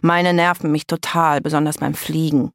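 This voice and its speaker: A woman's voice: deep voice, flat delivery, moderate pace, dry recording, clean studio sound. A woman narrator voicing a blunt, enthusiastic tomboy vampire girl in a children's story. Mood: grumpy.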